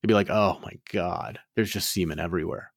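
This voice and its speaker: A man's voice: deep voice, brisk, no room sound, clean studio sound. A man co-hosting a podcast, talking frankly and rambling, with many restarts. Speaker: podcast co-host. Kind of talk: casual podcast chat.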